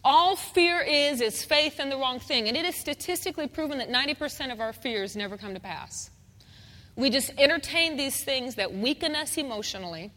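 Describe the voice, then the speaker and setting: A woman's voice: sits high, sharp tone. A woman preaching a sermon, calling out for amens and repeating phrases with rising fervor. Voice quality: singer. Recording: long hall reverb.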